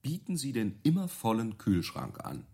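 A self-assured business consultant in a radio play. His voice is slightly rough and moves widely in pitch. He lays out his argument deliberately, with pointed rhetorical turns. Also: wildly swooping pitch, dark tone, exaggerated voice. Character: confident male business consultant pitching a bold idea, measured. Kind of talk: radio play dialogue, deliberate persuasive presentation.

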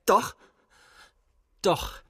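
An actor in a German radio drama playing a defiant, insolent young soldier with a taunting, excitable way of talking. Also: male voice, extremely slow, no room sound, clean studio sound. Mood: gleefully insolent.

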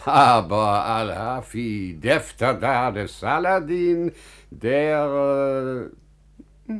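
A wise, wealthy merchant of mature years in a classical radio play. He speaks slowly in a medium-pitched male voice, with very wide, expressive rises and falls. His manner is deliberate, kindly and wry. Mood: wry, cautious. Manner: measured, theatrical, deliberate phrasing. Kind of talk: classical German radio drama acting.

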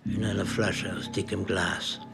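An actor playing a shabby old anarchist bomb maker in a radio drama, speaking in a terse, measured, self-satisfied way. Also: male voice, low-pitched, flat delivery, vocal fry, over loud music, exaggerated voice. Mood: cool, smug.

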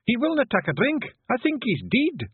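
Man playing a high-voiced Scottish clan matriarch. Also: expressive, slightly rough, dry recording, phone-quality sound. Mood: alarmed. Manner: brisk, bossy. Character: tiny commanding wife, female clan matriarch creature.

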